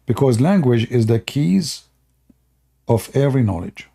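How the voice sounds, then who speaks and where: A man with an Arabic accent explains at length on a live stream, circling back and repeating his points. His voice is husky with a gravelly edge and a dark, mellow tone. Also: medium pitch, animated, unhurried. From Middle East. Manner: unscripted explaining, with fillers and repetition.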